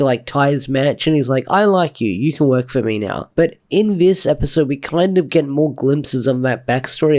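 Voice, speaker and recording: A man's voice: a little high, a little mellow. A man with a stuffy, snuffly voice from a cold, casually reviewing a TV episode in an enthusiastic, rambling way. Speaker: TV show reviewer.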